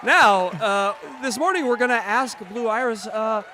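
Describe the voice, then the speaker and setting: A man hosting a comedy podcast, chatting casually in a halting way with many fillers and frequent giggles. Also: high-pitched, a loud crowd. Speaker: podcast host.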